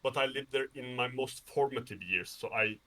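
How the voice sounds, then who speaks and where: A man's voice: mid-range voice, normal speed, bright, forceful tone, distant mic. A man from Norway chatting casually as an interview guest, telling rambling anecdotes in a self-deprecating way. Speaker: interview guest, game developer. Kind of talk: casual conversation.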